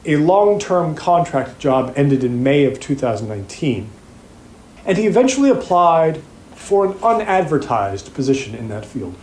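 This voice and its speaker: An actor playing a methodical, pointed prosecutor delivering an opening statement to a jury in an audio drama, speaking deliberately with rhetorical pauses. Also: male voice, on the high side, a little mellow, slight background noise. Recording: roomy, echoing sound.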